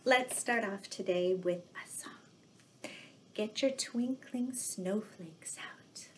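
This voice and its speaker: Slow-paced woman reading to young children. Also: medium pitch, in a whisper. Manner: animated, drawn-out delivery with sound words and gasps. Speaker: children's storytime host dressed as Mrs. Claus. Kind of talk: read-aloud storytime for young children. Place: living room indoors by a fireplace. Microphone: webcam at arm's length or further.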